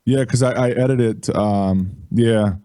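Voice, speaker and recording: A man's voice: mid-range voice, a little slow, warm tone. A man guesting on a live show over a call, laid-back, laughing easily and swearing casually. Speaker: podcast guest. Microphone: mixer mic on a voice call.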